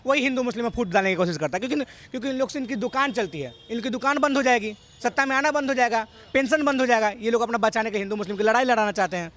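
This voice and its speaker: A man, talking extremely fast in a street debate. He is emphatic and repeats phrases to drive his point home. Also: extremely high pitch, fairly bright tone. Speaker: member of the public.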